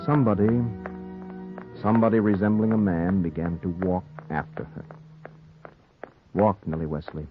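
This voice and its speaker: Low-voiced noir newspaper reporter played by a man, old radio. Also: fairly even intonation, moderate pace, pauses often, natural voice. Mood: brooding, ominous. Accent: American. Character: dogged young newspaper reporter narrating his crime story. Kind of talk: 1940s American radio noir acting. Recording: muffled narrow-band 1940s radio recording, music behind.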